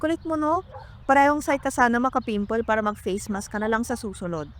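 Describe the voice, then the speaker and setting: A woman's voice: on the high side, brisk, lightly acted. A woman with a Filipino accent playing a studious, grumbling middle sister in a radio drama. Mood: grudging.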